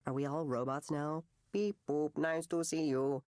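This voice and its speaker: A woman's voice: low voice, steady tone, measured pace, darkish tone, no room sound, studio quality. An actress playing an anxious, wry young woman who rambles hesitantly through a conversation in a video game. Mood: awkward, joking. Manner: hesitant, rambling, self-deprecating. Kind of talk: naturalistic conversational game voice acting.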